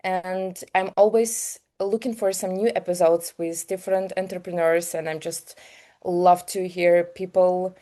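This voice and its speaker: Casting: female interview guest with a Ukrainian accent, slightly low mellow voice, sincere and a little halting in conversation. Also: steady tone. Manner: earnest, halting phrasing in non-native English. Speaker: guest, health business founder.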